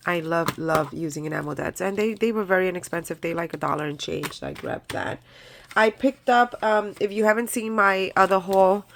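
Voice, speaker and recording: A woman with a stuffed-up, nasal voice from a head cold, rambling casually as she shows off her craft purchases. Her tone is bright and a little sharp, and she sits on the lower side of the usual pitch range for a woman. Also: slight background noise. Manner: chatty, rambling with many ums and likes. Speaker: crafting channel host. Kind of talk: casual haul video chat.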